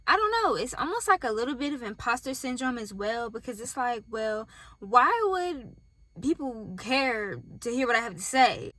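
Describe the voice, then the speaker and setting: A woman's voice: fairly high pitch, lively intonation, normal speed, a bit bright, no room sound. A woman with a Southern US accent talks candidly and casually to camera from her parked car, speaking straight to her viewers and filming on a phone set on a tripod. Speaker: vlogger.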